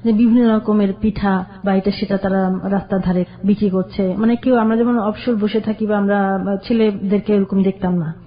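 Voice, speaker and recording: A woman telling her life story to a radio host, slow and hesitant, with restarts. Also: medium pitch, fairly even intonation. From Bangladesh. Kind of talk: personal storytelling interview. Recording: dull lo-fi radio recording.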